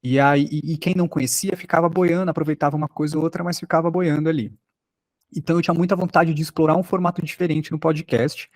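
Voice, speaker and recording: A man's voice: a little high, no echo. A man with a Brazilian accent giving an informal online lecture as a workshop instructor, talking conversationally and with some self-deprecation about his own experience.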